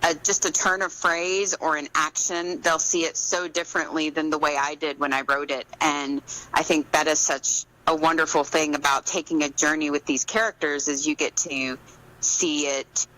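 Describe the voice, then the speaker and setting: A woman's voice: low voice, fairly even intonation, normal speed, bright, forceful tone, low-quality recording. A woman being interviewed as an author, talking casually and reflectively, in an earnest, explanatory way.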